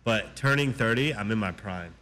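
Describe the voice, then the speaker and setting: A man's voice: on the low side, lively intonation, moderate pace, pushed and bright, a little hiss or hum. A man in his early thirties from Afghanistan hosting a casual radio interview, enthusiastic, swearing casually and repeating himself. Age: 30s. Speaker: radio host.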